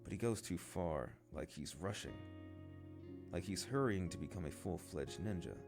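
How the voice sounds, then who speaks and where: A man reading a stern but concerned father in a storybook, serious and measured. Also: sits low, lively intonation, over music. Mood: worried.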